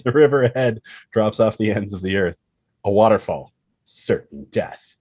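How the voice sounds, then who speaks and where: Low-voiced man narrating a screenplay, close and dry. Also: moderate pace, darkish tone, no room sound. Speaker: screenplay reader. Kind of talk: dramatic scripted narration of screenplay action.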